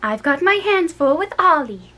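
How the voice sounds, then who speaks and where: A woman voicing a calm, kindly grandmother who speaks gently and reassuringly in a storybook reading. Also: sits high, moderate pace, pitched above the performer's own voice, full character voice. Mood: gentle.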